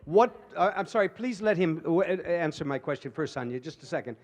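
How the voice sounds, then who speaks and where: Guy moderating a conference, voice a bit high, keeps butting in politely but insistent, says sorry as he goes. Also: animated, brisk. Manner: insistent, interjecting, apologetic. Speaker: panel moderator.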